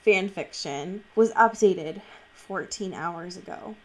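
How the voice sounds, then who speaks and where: A woman's voice: medium pitch, slow, low-quality recording. Slangy, playful woman podcast co-host. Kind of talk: casual banter on a podcast.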